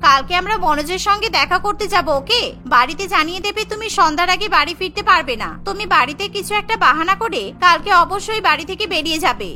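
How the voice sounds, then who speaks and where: A woman voicing an upbeat, take-charge female friend in a cartoon, briskly giving instructions. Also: very high voice, lively intonation, bright, forceful tone, soft background music, exaggerated voice. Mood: upbeat, instructing.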